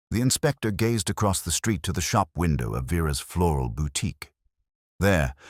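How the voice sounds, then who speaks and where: Male narrator, very low pitch, animated delivery, dry and wry, cosy mystery storytelling, close dry sound. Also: no room sound.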